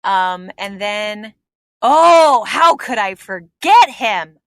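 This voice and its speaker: A woman's voice: medium pitch, lively intonation, extremely slow, a bit bright. A woman comedian chatting as a guest on a podcast, reminiscing with plenty of fillers. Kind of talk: casual podcast interview.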